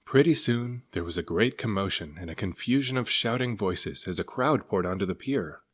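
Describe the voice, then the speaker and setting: A man reading a children's story aloud as narrator, in an expressive manner. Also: lowish voice, normal speed. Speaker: storybook reader.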